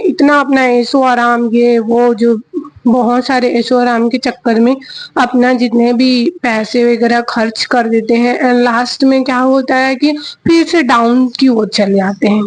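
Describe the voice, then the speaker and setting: A woman with a high voice talks slowly through a book's lessons for her viewers, stopping and restarting often as she searches for words. She mixes English words into her speech and keeps asking her audience questions before answering them herself. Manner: hesitant, many restarts and fillers, mixing in English words; asks the audience rhetorical questions. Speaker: vlogger explaining a book. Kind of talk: casual explainer talk.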